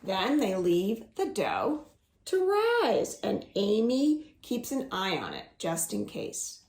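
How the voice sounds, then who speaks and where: A woman librarian reading a picture book and singing for young children at home, slow and coaxing, inviting them to join in. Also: medium pitch, very animated, a little mellow, roomy sound. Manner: slow, singsong and coaxing, asks the listeners to join in.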